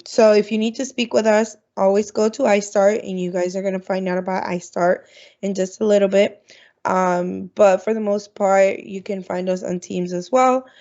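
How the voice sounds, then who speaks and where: Gravelly-voiced advisor lady chatting thru an orientation, lots of ums, dull call audio. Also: mid-range voice, warm tone. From Florida, United States. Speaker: international student advisor. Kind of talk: presenting at an online orientation.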